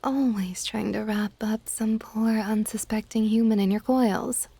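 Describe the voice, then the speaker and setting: Stately older dragon goddess played by a woman, unhurried and mellow, fondly exasperated, formal and soft, for ASMR audio roleplay. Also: mid-range voice, fairly even intonation, no room sound. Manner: soft-spoken, breathy asides, formal wording.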